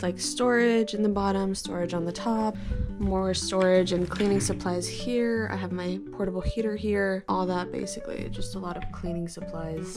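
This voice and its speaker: A young woman in her twenties chats to her camera in an easygoing, rambling way, dropping "like" into her sentences as she goes. Her voice sits at an ordinary middle pitch. Clear guitar music plays underneath her talk. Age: 20s. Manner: chatty, rambling, frequent "like" fillers. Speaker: lifestyle vlogger. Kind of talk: casual chat to camera and home tour. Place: her own home, indoor living area.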